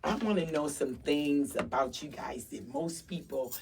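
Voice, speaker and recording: Low-voiced woman radio host. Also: a little slow, distant mic, noisy background. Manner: upbeat, promotes station events. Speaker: radio talk show host. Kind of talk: round table radio chat. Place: radio studio.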